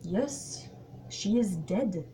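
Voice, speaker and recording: A woman voicing a shrewd, polite elderly male detective with a French accent and formal, deliberate phrasing, in a story reading. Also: medium pitch, animated, warm tone, a little hiss or hum. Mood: commanding, grave.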